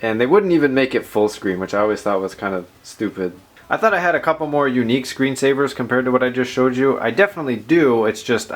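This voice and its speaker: A man hosting a tech video, chatting informally and rambling through a software demo with playful asides. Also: on the low side. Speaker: tech channel host. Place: indoors at a desk in a room.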